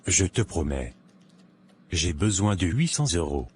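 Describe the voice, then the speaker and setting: Deep-voiced, vain broke young man acted by a man. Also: big pitch swings, measured pace, slight background noise. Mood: pleading. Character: vain, broke young office man living beyond his means. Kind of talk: animated moral tale dialogue.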